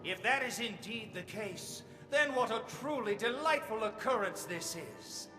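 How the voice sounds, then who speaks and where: High-voiced, serene cult zealot. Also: male voice, lively intonation, unhurried. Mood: serene, welcoming. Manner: unctuous, ceremonious, over-polite. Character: serene fanatical male cult leader, courteous doomsday zealot. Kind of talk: theatrical video game voice acting. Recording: echoey hall reverb over game music.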